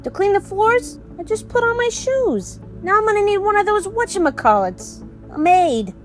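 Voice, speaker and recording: A woman voicing a naive, brash male New York sports fan, musing aloud excitably in an audio play. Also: extremely high pitch, moderate pace, faint music behind, pitched above the performer's own voice. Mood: flustered delight. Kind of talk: quoted character in audio drama storytelling.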